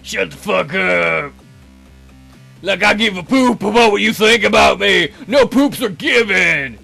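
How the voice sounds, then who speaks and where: A man's voice: high-pitched, animated, a little slow, vocal fry, pushed and bright, faint music behind, voice pushed down, heavily acted. A man voicing a crude, lecherous guard dog with a coarse, sneering, loutish delivery for a visual novel. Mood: gruff, irritated. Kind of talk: visual novel character voice-over.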